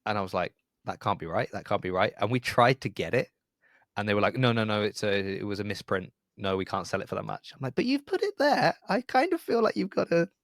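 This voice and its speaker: A man's voice: fairly low pitch, big pitch swings, brisk, creaky, a bit bright, no echo, studio quality. A middle-aged man hosting a podcast in a casual, chatty interview style. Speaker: podcast host.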